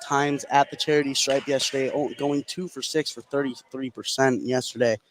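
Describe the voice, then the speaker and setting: A man's voice: mid-range voice, fairly even intonation, moderate pace, low-quality recording. A man working as a color commentator, giving conversational, analytical commentary on a live basketball game. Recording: faint gym background noise. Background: basketball game in a gym.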